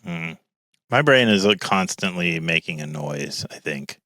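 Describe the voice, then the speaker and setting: A man co-hosting a podcast with a deep voice, talking in a deadpan, mock-serious way. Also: a little slow, no room sound. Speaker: podcast co-host. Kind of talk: casual chat.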